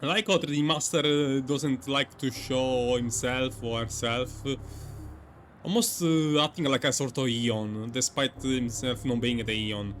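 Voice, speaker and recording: A man's voice: medium pitch, fairly even intonation, a little slow, a little hiss or hum. A man giving casual commentary on a game, reacting and thinking aloud in a hesitant way. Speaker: gaming streamer.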